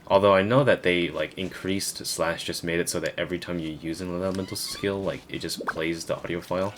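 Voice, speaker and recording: Low voiced guy chatting over his gameplay, kinda thinking out loud, noisy recording. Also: fairly even intonation, a little fast. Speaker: gaming video creator. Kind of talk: casual gameplay commentary. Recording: noticeable background noise.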